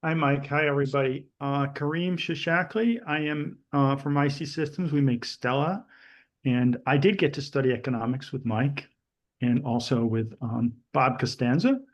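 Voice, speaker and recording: A man's voice: mid-range voice, fairly even intonation, normal speed. A man giving a short, plain introduction on a video call. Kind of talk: brief self-introduction in online meeting. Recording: muffled video call audio.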